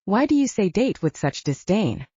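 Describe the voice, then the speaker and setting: A woman narrator plays a young-hearted, determined hero in an audiobook. He banters in a wry, casual way, with mobile pitch and a mellow tone. The audio is slightly dull. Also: big pitch swings, normal speed, slightly rough, no room sound. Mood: teasing. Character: teasing, determined man, first-person hero of werewolf story. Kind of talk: audiobook character acting.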